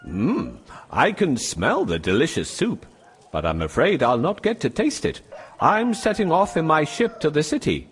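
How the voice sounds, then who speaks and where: A man voicing a kindly, doting middle-aged merchant father in a children's fairy tale, affectionate and gentle. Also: a little high, wildly swooping pitch, moderate pace, slight background noise. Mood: fond, wistful.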